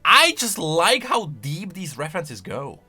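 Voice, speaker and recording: Casting: male game-lore host, sharp forceful higher voice, swinging intonation, excitable off-the-cuff reactions. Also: a little slow, husky, faint music behind. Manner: excitable, emphatic.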